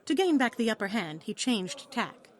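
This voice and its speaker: A woman's voice: on the high side, sing-song, normal speed, faint music behind. A woman reading a story aloud as narrator, in a dramatic, scripted storytelling style.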